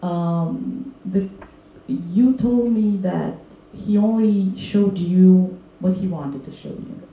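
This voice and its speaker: A woman's voice: lowish voice, slow, dark tone. A woman moderating an on-stage talk after a screening, asking long, hesitant questions into a handheld mic. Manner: hesitant, many fillers and restarts. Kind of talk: stage Q&A interview. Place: stage of a screening hall, seated. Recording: muffled echoey hall, faint noise.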